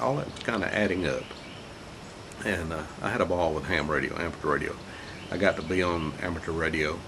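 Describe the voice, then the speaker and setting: An older man with a congested, croaky voice, reminiscing about his life alone at home in a halting, rambling way with small chuckles. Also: deep voice. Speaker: vlogger telling his life story. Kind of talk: casual reminiscing monologue. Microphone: camera mic. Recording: noisy home camera recording.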